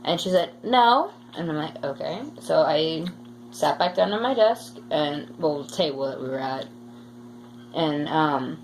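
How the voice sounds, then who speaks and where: A woman's voice: deep voice, expressive, a little slow, poor audio. A young woman rambling through casual stories with a playfully dramatic streak. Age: teen or young adult. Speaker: vlogger telling school stories. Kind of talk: casual storytime chat. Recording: faint background noise.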